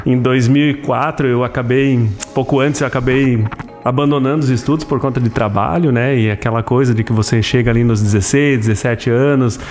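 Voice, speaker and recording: A man in his thirties with a Brazilian accent explaining things as an interview guest, haltingly, with frequent tag questions. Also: mid-range voice, fairly even intonation, darkish tone, faint music behind. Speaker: interview guest, school administrator.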